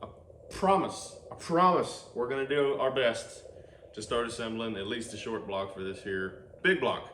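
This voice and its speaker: A man's voice: mid-range voice, moderate pace. A man, hosting a casual workshop vlog and chatting while he works on an engine in an outdoor garage. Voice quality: loud voice. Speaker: channel host. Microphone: camera microphone, no external mic. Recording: roomy, noisy workshop ambience.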